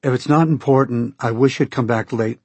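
A man's voice: mid-range voice, fairly quick, darkish tone, breathy edge, no room sound. Man reading a curt, polite showman.

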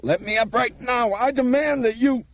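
A man voicing a frantic, imperious madman who shouts his demands in an audiobook thriller. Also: extremely high pitch, moderate pace, vocal fry, no room sound, pitched above the performer's own voice. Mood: frantic, outraged.

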